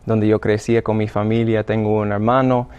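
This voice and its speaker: A man's voice: fairly low pitch, little pitch movement, a little mellow, clean tone, dull audio. American man, hesitant Spanish. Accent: American English. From Illinois, United States. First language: English. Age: 30s. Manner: halting, many restarts. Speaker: seminarian guest. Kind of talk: radio interview testimony.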